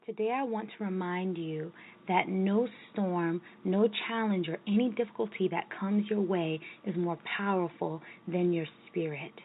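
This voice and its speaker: A woman's voice: on the low side, moderate pace. A woman delivering a scripted inspirational message on a lo-fi recording. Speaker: inspirational speaker. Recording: noisy, dull lo-fi recording.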